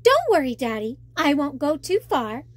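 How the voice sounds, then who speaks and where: A woman voicing an adventurous young mermaid princess in a children's storybook, excited and headstrong. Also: sits high, moderate pace. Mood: reassuring, eager. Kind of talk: children's storybook read-aloud.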